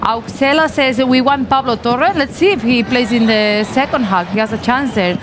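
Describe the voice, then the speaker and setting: A woman's voice: on the high side, normal speed, noisy background. A woman co-hosting a live stream, reading viewer comments aloud, giggly and hesitant over names.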